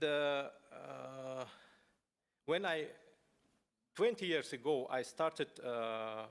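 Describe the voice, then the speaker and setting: A man from Buryatia speaking English as an at a conference, telling his personal story in a halting way with many pauses and fillers. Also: mid-range voice, a bit bright. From Buryatia, Russia. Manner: halting, many fillers and restarts, non-native phrasing. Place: parliament conference hall.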